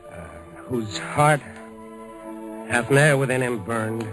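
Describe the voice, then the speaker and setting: A man playing a shipboard officer with a measured delivery, in 1950s radio drama style. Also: mid-range voice, over music, close to an everyday voice. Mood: measured, reciting.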